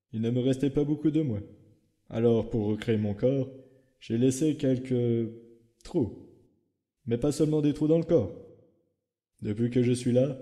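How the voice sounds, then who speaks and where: Male actor as a guilt-ridden skeleton, very dark muffled-soft tone, weary, subdued, halting, dramatic fan comic dub. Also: medium pitch, natural voice.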